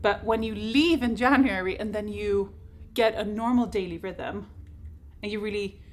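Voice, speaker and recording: A woman's voice: mid-range voice, normal speed, a little hiss or hum. Chatty woman vlogger. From Sweden. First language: Swedish. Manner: chatty, excitable, laughs often. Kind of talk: casual chat to camera.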